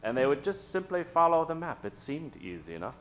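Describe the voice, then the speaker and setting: A man hosting and narrating an old-time radio show theatrically, with dramatic pauses. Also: on the high side, very animated, fairly bright tone. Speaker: host and narrator.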